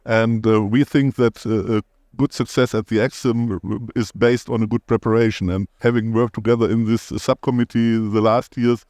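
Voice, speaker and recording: A man with a German accent, a guest anesthesiologist explaining things in a measured way. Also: mid-range voice, fairly even intonation, a little mellow, dry recording, studio quality. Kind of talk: conference podcast interview.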